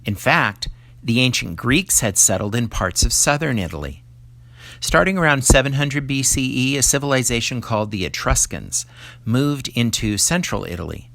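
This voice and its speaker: A man's voice: mid-range voice, lively intonation, moderate pace, vocal fry, fairly bright tone, no echo. Man reading a lesson aloud, dry close sound. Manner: explanatory, poses questions to the listener. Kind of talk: scripted educational read-aloud addressed to children.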